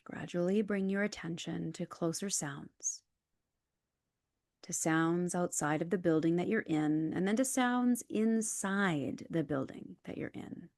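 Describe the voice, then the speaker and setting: Female yoga nidra guide, lower register, calm and soothing, scripted instructions, very dry close sound. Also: measured pace, no echo.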